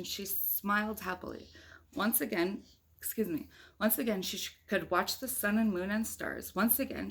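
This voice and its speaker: A woman reading a picture book aloud to young children in a conversational way, with chatty asides and questions to her listeners. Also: mid-range voice.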